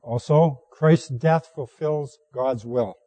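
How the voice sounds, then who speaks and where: A hoarse man preaching a sermon, reading scripture aloud at a measured, deliberate pace. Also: medium pitch, dark tone, no room sound.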